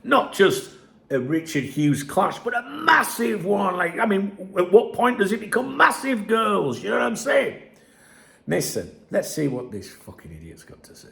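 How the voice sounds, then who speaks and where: Man, croaky chest infection voice, sarcastic, sweary, casual channel host. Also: high voice, big pitch swings, normal speed, fairly bright tone. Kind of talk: casual commentary on a news article.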